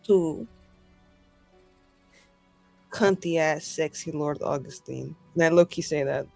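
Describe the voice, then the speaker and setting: A woman narrates her roleplaying character's moves in an improvised, casual way. Her pace is unhurried and her phrasing is slangy, sweary and halting. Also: deep voice, creaky, darkish tone, faint music behind, poor audio. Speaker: tabletop roleplaying game player.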